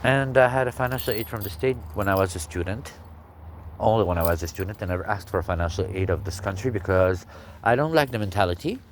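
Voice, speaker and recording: Man, 40s, deep voice, halting personal testimony. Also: lively intonation, a little hiss or hum. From Kuwait. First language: Arabic. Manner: halting, with frequent pauses. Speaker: speaker telling his life story.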